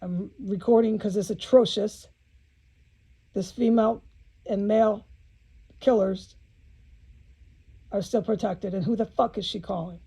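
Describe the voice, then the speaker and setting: A woman's voice: mid-range voice, steady tone, unhurried, creaky, pauses often, dark tone, husky, low-quality recording. A woman speaking in an informal video monologue, blunt and agitated, swearing often and repeating phrases for emphasis, with an aggrieved manner.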